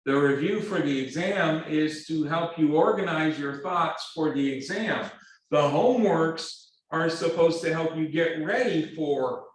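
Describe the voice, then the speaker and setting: A man lecturing a math class, speaking in a halting, earnest way with repeated words and many fillers. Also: fairly high pitch. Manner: earnest, pleading. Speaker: math instructor. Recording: very echoey classroom, distant mic.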